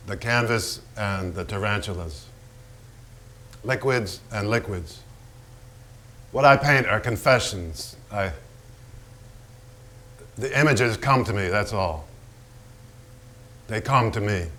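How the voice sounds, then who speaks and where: A man's voice: on the low side, expressive, slow pace, pauses often, sharp tone, full character voice. A man playing a volatile, foul-mouthed, hard-drinking painter in a theatrical staged reading, blunt and brooding. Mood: brooding, confessional. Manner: blunt, profane, brooding, given to repetition.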